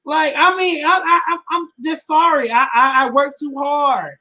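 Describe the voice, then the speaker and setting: A woman's voice: high voice, fairly even intonation, normal speed, sharp tone. A woman in her twenties, a podcast co-host, giving blunt, emphatic opinions in slangy casual chat. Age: 20s.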